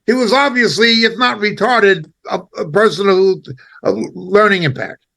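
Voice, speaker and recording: A man giving impassioned spoken commentary as a preacher, indignant and emphatic, speaking in short halting phrases. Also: high voice, creaky, bright, forceful tone.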